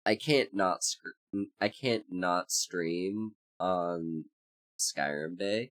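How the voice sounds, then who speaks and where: Slow, low, congested streamer voice. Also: a bit bright. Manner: rambling, with many fillers and restarts; greets chat members by name. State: congested and hoarse from illness. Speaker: VTuber streamer. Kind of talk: casual livestream chat.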